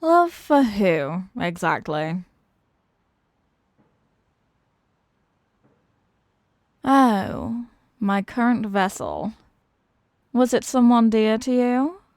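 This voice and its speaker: A woman playing a menacing, mocking demon villainess in a fantasy audio roleplay, theatrical and taunting, with deliberate dramatic pauses. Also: fairly high pitch, darkish tone, no echo, clean studio sound, exaggerated voice. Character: possessing demon villainess, menacing, mocking, tormented by borrowed love.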